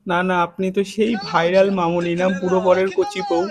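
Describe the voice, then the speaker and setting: A man's voice: sits high, level tone, a little fast, a crowd in the background. A man hosting a prank phone call, chatting casually in a teasing, needling, mock-lovelorn way. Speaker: prank-call video host.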